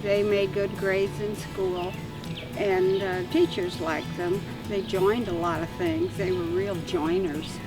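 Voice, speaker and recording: An older woman talks in an unhurried, fond, reflective way. She is a little back from the mic, and music plays under her voice. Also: medium pitch, little pitch movement, far from the mic. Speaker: mother of the lawyers. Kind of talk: documentary interview.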